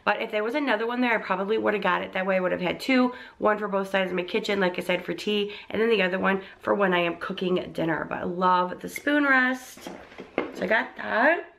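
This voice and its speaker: A woman's voice: medium pitch, fairly quick, creaky, fairly bright tone. A young woman, a vlogger getting over laryngitis with a slightly crackly voice, chatting in a gushing, casual way about a shopping haul. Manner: chatty and gushing, with frequent likes and ums. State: recovering from laryngitis, a bit crackly.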